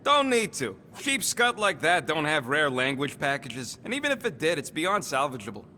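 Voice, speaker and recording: A cocky little bounty hunter creature in a video game. He is irritable and slangy, with a sharp, forceful edge and pitch that jumps around a lot. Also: sing-song, moderate pace, slight background noise. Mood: irritated, dismissive. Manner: brash, dismissive, slangy street talk.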